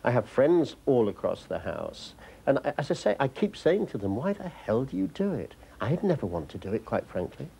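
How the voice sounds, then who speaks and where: Expressive man, noisy background. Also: mid-range voice, moderate pace. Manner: emphatic, with tag phrases trailing away quietly. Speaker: political correspondent being interviewed. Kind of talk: reflective interview talk. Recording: clear background noise.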